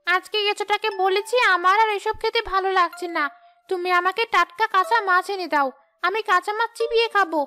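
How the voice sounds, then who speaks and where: A woman's voice: very high voice, fairly even intonation, sharp tone, soft background music. A woman voicing a sly, sulky, greedy female ghost wife in a cartoon, whining and scheming. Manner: whining, scheming, quick to complain. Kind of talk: cartoon ghost-story dialogue.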